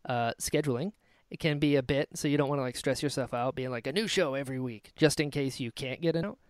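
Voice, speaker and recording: A man from North Carolina hosting a podcast, chatty and quick to laugh, tossing "dude" into his casual interview talk. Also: medium pitch, dry recording, studio quality. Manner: chatty, laughs easily, says "dude" a lot. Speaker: podcast host.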